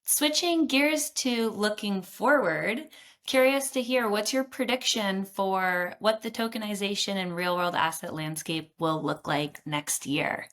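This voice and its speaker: A woman's voice: medium pitch, a little slow, a bit bright. A woman hosting an interview, asking questions in an upbeat, enthusiastic way. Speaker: interview host. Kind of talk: friendly scripted interview questions.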